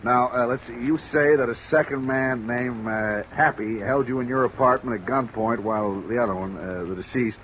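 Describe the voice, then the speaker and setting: A man's voice: medium pitch, normal speed, few pauses, a little hiss or hum, natural voice, poor audio. An American man playing a plodding, hesitant and mildly fussy police lieutenant in a 1940s radio drama. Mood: chiding, probing. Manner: hesitant, bumbling, placating. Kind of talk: 1940s radio detective acting. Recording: old radio recording under steady rain sound.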